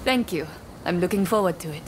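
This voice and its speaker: An actress playing a proud, eager young warrior in a video game, self-assured and formal. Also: female voice, fairly low pitch, a little fast, slight background noise, lightly acted. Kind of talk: video game cutscene acting.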